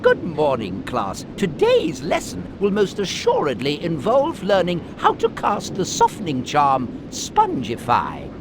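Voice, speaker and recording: An actor playing a chirpy, precise wizard charms teacher in a video game, lecturing in a formal, theatrical way. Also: male voice, on the high side, huge pitch swings, a little slow, few pauses, noisy background, exaggerated voice. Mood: brisk, instructive. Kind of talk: video game cutscene acting.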